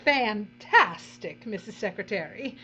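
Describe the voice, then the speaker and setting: Woman acting a theatrical, callous showbiz villainess, swooping pitch. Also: lively intonation, measured pace, bright, forceful tone, muffled recording, soft background music. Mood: flattering, chummy. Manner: theatrical, drawling, condescending endearments. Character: breezy, callous female showbiz boss, theatrical and condescending. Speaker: television executive in a drama. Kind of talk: audio drama acting.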